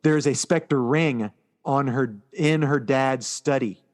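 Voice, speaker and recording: A man co-hosting a podcast, chatting casually about movies in a halting, storytelling way and often quoting dialogue. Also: mid-range voice. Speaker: podcast co-host.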